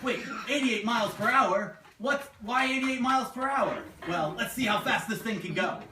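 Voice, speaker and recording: A woman's voice: a little high, moderate pace, pushed and bright. A woman playing an earnest, exasperated teenage son in an amateur puppet show, exclamatory and repeating words. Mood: eager, puzzled. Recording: distant mic, echoey very noisy room.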